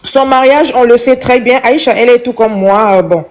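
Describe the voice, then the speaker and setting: A woman's voice: on the high side, moderate pace. A woman from Congo, calling into a live chat and giving an impassioned, preachy opinion that repeats its points.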